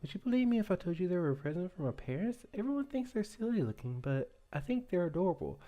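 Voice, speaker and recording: A man's voice: sits high, big pitch swings, fast, warm tone, pitched above the performer's own voice. A man doing a poised, confident schoolgirl. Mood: gently amused. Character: young woman student, poised and confident.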